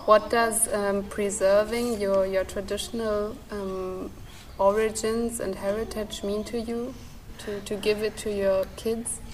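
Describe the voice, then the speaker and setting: A woman who narrates a short documentary in a clear, even presenting tone and asks the interview questions. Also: medium pitch, measured pace, a little hiss or hum, low-quality recording.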